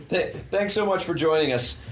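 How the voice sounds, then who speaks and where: Halting male podcast host. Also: fairly high pitch, a little hiss or hum. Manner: hesitant, many fillers and restarts. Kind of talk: casual interview.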